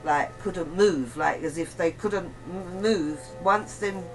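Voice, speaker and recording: A woman giving a casual, rambling account in a chat, halting and often repeating herself. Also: lowish voice, over music. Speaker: interview guest. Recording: noisy amateur recording of a chat.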